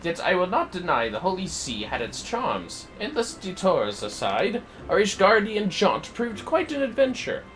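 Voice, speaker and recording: Man voicing a pompous young gentleman, high and lively. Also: moderate pace, few pauses, slight background noise, full character voice, poor audio. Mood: grandly wistful. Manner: florid, archaic, theatrical diction. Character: pompous, eloquent young gentleman scholar in fantasy game.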